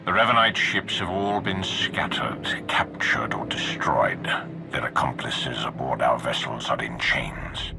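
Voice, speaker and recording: An extremely low, creaky male voice gives life to a stern Sith lord in a science-fiction game. He is formal, measured and ominous. Music plays clearly behind him. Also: exaggerated voice. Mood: grave, ominous. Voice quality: very deep, gravelly. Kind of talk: dramatic sci-fi game dialogue.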